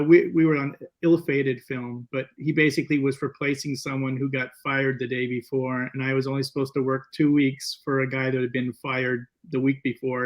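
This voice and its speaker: A man speaking as a filmmaker guest, telling stories casually in a meandering, halting way with repeated words. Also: mid-range voice. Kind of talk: casual interview storytelling.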